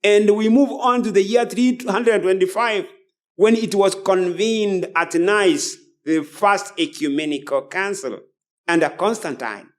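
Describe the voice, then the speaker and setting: A man's voice: high voice, a little slow. A man preaching a sermon in a solemn, declamatory manner, repeating phrases and reading out quotations as a preacher.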